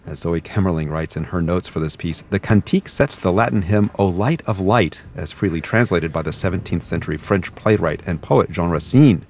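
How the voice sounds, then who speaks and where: Deep-voiced male concert radio host. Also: slight background noise. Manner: measured, even announcer delivery. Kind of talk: scripted broadcast narration. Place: concert venue broadcast booth.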